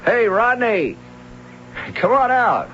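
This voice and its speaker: An actor playing a big, good-natured husband who can turn from playful to shaken, in an old-time radio drama. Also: male voice, very high-pitched, big pitch swings, slow pace, fairly bright tone, full character voice. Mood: hearty, playful. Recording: muffled noisy old radio drama recording.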